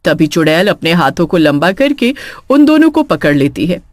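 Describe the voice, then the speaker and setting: A woman's voice: on the low side, sing-song, moderate pace, dry recording, studio quality. A woman narrating a scripted horror story in a dramatic storytelling style.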